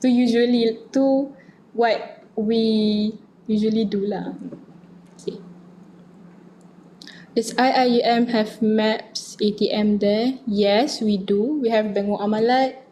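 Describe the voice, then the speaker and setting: A woman's voice: on the high side, fairly even intonation, takes it slowly, long pauses, warm tone, a little hiss or hum. A young Malaysian woman with a Malaysian accent, answering student questions in a casual online session, switching between Malay and English. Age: young adult. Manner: mixes Malay and English, reads questions aloud then answers.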